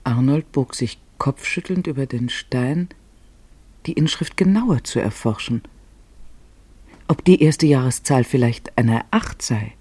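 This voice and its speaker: Husky male radio-play narrator, very dry sound. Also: mid-range voice, a little mellow, dry recording. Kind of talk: scripted literary narration for radio drama.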